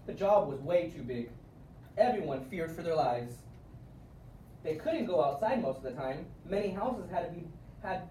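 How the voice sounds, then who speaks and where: A man reading a children's storybook aloud in an expressive way with emphatic word stress, recorded at a distance in a room. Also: a little high. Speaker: storybook reader. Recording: roomy, distant mic with faint noise.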